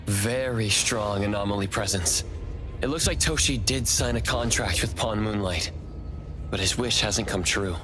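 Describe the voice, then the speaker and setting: Calm, analytical male game investigator voiced by a man. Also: fairly low pitch, music in the background, natural voice. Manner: measured, thoughtful, deductive. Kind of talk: anime-style video game voice acting.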